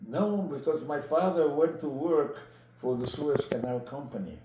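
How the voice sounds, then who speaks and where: A man in his nineties from Egypt recalls his life in an interview, speaking haltingly and deliberately and often repeating phrases. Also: fairly high pitch. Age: 90s. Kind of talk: personal recollection in an interview. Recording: roomy echo with clear background noise.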